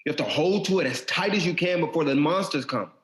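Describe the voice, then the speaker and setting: A man's voice: a little high, lively intonation, quick pace, fairly bright tone. A young man acting in an audio drama as a brooding, insecure, artistic younger brother with an earnest, informal, wounded way of talking. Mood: intense, insistent. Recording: echoey, roomy sound.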